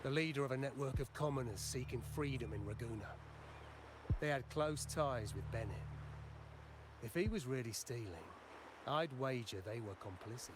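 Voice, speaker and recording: An actor playing a guarded, matter-of-fact male informant in a video game, explaining things in a measured way. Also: mid-range voice, lively intonation, noisy background, close to an everyday voice. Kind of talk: video game dialogue acting. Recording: game music clearly behind the voice. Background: game music.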